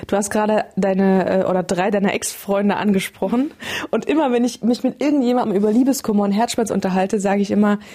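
A woman's voice: medium pitch, a little fast, warm tone. A woman hosting a radio show, interviewing a guest with enthusiasm and slangy, casual phrasing.

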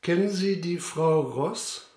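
A man's voice: on the high side, slow pace, a little mellow, slightly rough. An actor playing a sober, sceptical police inspector in a radio drama, formal and matter-of-fact as he reports and questions. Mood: businesslike. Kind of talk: radio drama acting with narration.

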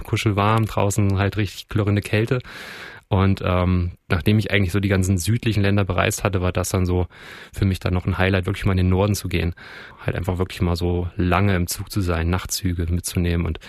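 A man with a deep voice talks casually about his own experiences. The sound is close and dry, as in a recorded interview. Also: no room sound. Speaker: interviewee recalling travels. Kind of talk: casual personal storytelling.

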